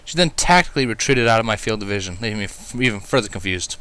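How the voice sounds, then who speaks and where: Bright-voiced man reading a story expressively. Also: fairly low pitch, lively intonation, quick pace, smooth. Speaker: fan fiction reader. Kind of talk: expressive first-person story narration.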